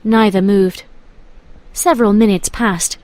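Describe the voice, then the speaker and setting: A woman's voice: medium pitch, steady tone, unhurried, darkish tone, no room sound. A woman reading scripted story narration as the narrator of a tale.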